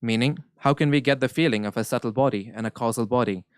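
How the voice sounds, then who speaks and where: Smooth-voiced man interpreting. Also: medium pitch, no room sound, studio quality. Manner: measured, earnest, occasional self-corrections. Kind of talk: live interpretation of a spiritual Q&A.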